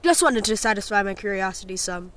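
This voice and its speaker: A woman's voice: mid-range voice, lively intonation, a little fast, fairly bright tone, natural voice. A woman playing a curious, earnest young boy in an audio storybook, with a childlike manner. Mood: evasive. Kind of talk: audio storybook character acting.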